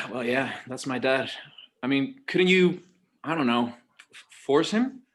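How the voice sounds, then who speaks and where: A man's voice: mid-range voice, slow, a bit bright. A man acting as an exasperated but dutiful forty-year-old son, hesitant and weary, in a naturalistic table read. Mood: worried.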